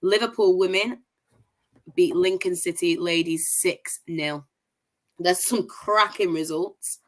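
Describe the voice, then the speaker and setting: A woman's voice: fairly low pitch, slow. Chatty, posh-sounding woman host. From Manchester, England. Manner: upbeat, chatty, reels off scores with enthusiasm. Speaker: host of a women's football review show. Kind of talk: casual livestream results roundup.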